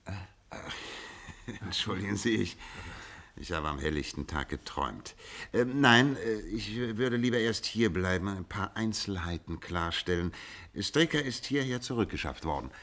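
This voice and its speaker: Shrewd gentleman sleuth for radio play, lowish voice, thoughtful, courteous, mulls things over. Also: animated, normal speed. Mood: absent-minded, polite. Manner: thoughtful, polite, probing, murmured acknowledgements. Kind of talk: German radio play detective acting.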